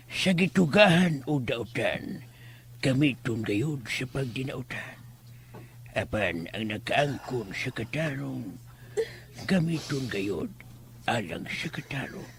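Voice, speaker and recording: A man playing a solemn, righteous elder healer in a horror radio drama, grave, deliberate and preaching. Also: mid-range voice, slight background noise.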